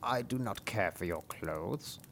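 A man voicing a sly, bargaining frog in a read-aloud fairy tale, speaking slowly and low with croaks and ribbits. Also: expressive, a bit bright, full character voice.

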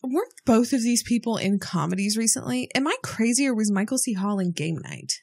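Woman, podcast co-host, casual chat, measured and thoughtful. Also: a little high, animated, darkish tone, slightly rough. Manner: thoughtful, measured, some hesitations.

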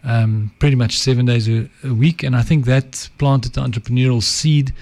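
A middle-aged man from South Africa speaks with an Afrikaans accent and a gravelly, fry-tinged voice. He talks in a measured, reflective way, often letting his sentences drop away quietly. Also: mid-range voice, steady tone. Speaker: guest, advertising entrepreneur and author. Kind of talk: reflective interview talk.

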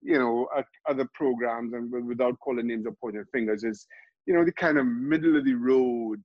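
A middle-aged man from Trinidad and Tobago, a football pundit, answering interview questions in a halting way, restarting and repeating words often. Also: mid-range voice, no room sound, dull audio. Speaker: football pundit and show host.

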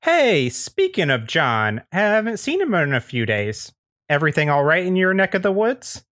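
A chipper neighbor as a man plays him talks in a raised, high voice. He is nosy and over-friendly, with a folksy suburban turn of phrase, as in a roleplaying game. The sound is close and dry. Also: huge pitch swings, normal speed, a bit bright, no echo. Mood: cheerful, prying.

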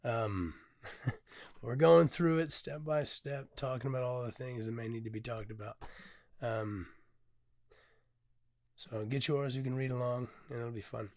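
A hoarse, tired man hosting his own podcast in a casual, candid way, often pausing on "um" and swearing freely. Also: mid-range voice, fairly even intonation, a little mellow. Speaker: podcast host and poet. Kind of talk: casual solo podcast talk.

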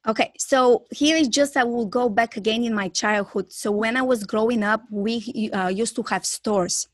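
A woman's voice: on the high side, moderate pace, dry recording. A woman in her thirties with a Bulgarian accent, telling her story enthusiastically as an interview guest. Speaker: podcast guest, pet photographer.

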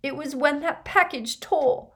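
A woman's voice: high-pitched, a little slow, voice pushed up. A woman voicing a distraught teenage schoolgirl who is crying as she talks, in a storybook reading. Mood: tearful, shaken.

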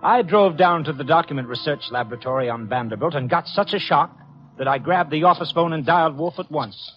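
A dull, vintage radio recording carries a breezy detective's assistant , played by a male actor. His voice sits a little high. He talks in brisk, slangy, wry lines like a 1950s radio private eye. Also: faint music behind, close to an everyday voice.

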